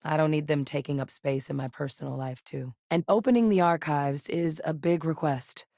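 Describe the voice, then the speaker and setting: An actress playing a composed, middle-aged female ex-soldier turned scientist in a game, talking in a measured, direct and dry way. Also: low-pitched, warm tone, no echo. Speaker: game character voice. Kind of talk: recorded game voice lines. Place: studio booth.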